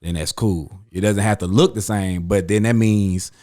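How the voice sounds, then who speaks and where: A man's voice: lowish voice, fairly even intonation, moderate pace, mellow. A man, rambling loosely through a casual chat with friends as the host. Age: 30s. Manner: rambling, loose, uses slang and fillers. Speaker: podcast host.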